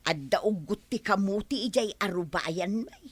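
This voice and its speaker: An actress with an Ilocano accent playing a put-upon, quarrelsome village wife in an audio drama, given to exclaiming and complaining. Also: female voice, mid-range voice, animated, moderate pace, breathy edge, no echo. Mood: complaining, chatty.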